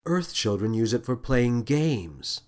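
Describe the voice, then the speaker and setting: A man voicing a calm, patient female ship's officer in a children's audiobook, dry, polite and flat. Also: mid-range voice, takes it slowly. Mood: matter-of-fact. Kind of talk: children's space adventure audiobook.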